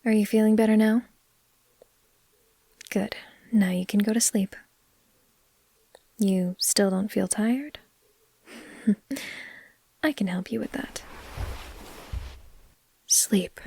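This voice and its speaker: Woman acting as female vampire, caring, lightly teasing, soft ASMR roleplay, gravelly fry, unhurried, dry recording. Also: mid-range voice, no echo, exaggerated voice. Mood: soothing. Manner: soothing, unhurried, slipping into near-whispers. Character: caring, gently teasing female vampire comforting a sleepless partner.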